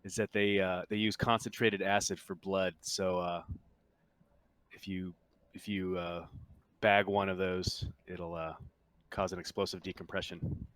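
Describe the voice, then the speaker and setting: A man acting as game master in a tabletop roleplaying game, speaking haltingly in character. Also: low-pitched, flat delivery, a bit bright, no echo.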